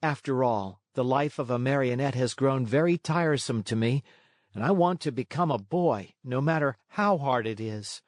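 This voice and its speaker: A man voicing an eager, naive boy marionette for a storybook, pleading and excitable with a touch of whine. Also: mid-range voice, moderate pace, no room sound, studio quality, pitched above the performer's own voice, lightly acted. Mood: earnest.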